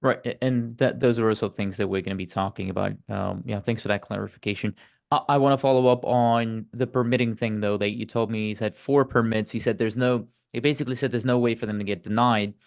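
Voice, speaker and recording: A man with a fairly low voice hosts a remote interview. He presses his guest with probing follow-up questions, and his voice comes through a video call. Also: steady tone, fast talker, gravelly. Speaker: interview host.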